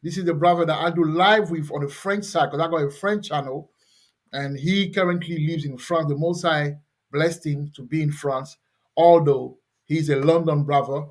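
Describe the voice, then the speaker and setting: A man with a fairly high speaking voice leads an online scripture lesson. He rambles loosely, repeating himself and leaning on fillers as he goes. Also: fairly even intonation, moderate pace. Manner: loose, repetitive, with many fillers. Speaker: host teaching scripture. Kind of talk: casual religious teaching over video call.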